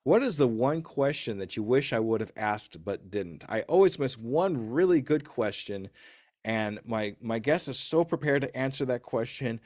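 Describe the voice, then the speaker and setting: A man's voice: mid-range voice, lively intonation, normal speed, a little mellow, no echo, clean studio sound. A man hosting a podcast interview in a casual, informal, slightly rambling conversational way.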